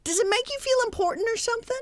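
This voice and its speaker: Female actor as practical needling wife, high-pitched voice, vintage radio comedy delivery, dry close sound. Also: very fast, no room sound. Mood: exasperated. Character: practical, exasperated sitcom wife, gently needling. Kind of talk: old-time radio comedy acting. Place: recorded at home, dry and close.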